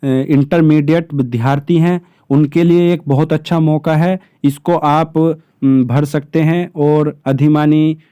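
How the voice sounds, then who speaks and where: Male explainer, slightly high pitch, close dry sound, formal and measured when reading out details. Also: fairly even intonation, warm tone, smooth, no echo, highs cut off. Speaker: exam-prep presenter explaining job notices. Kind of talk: informational explainer, partly reading from a notice.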